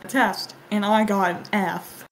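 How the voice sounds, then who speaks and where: A woman's voice: medium pitch, steady tone, measured pace. A woman ad-libbing a joking cartoon fandub in a casual, irreverent way. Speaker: amateur fandub voice performer. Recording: noisy amateur home recording.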